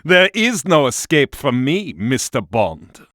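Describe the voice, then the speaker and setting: A man's voice: medium pitch, big pitch swings, slow pace, a bit bright, no echo, clean studio sound. A man playing a menacing, gloating villain in a spy video game, speaking in a grandiose, theatrical manner.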